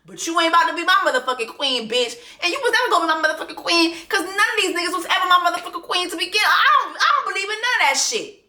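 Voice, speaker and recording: Woman vlogger going off, cussing and mocking, sharp bright voice, echoey room. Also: very high voice, expressive, rapid-fire. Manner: profane, fired-up and mocking. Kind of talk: ranting commentary on a docuseries.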